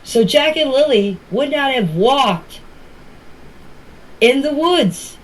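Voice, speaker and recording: A woman's voice: high-pitched, animated, slow pace, low-quality recording. Middle-aged or older woman, plain-spoken. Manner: plain-spoken, emphatic, repeats herself. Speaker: family member giving her account. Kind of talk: informal personal account in voice messages. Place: voice clips recorded for a group chat.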